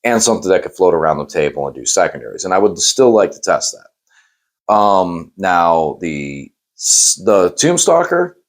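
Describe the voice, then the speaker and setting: A man hosting a casual post-game chat, hoarse and losing his voice, talking in rambling, self-correcting runs and teasing his co-host. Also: low-pitched, big pitch swings, normal speed. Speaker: channel host.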